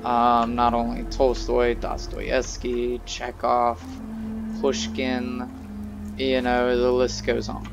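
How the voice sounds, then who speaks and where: Slow, gravelly young man playing a game. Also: medium pitch, completely flat delivery, lo-fi sound. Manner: rambling, hesitant, many fillers. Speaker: gaming video host. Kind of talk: casual let's play commentary. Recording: voice over game music.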